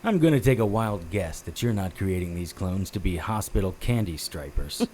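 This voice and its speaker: An actor playing a tough, wisecracking private detective in an audio drama, with wry deadpan delivery and noir-style narration. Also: male voice, sits low, moderate pace.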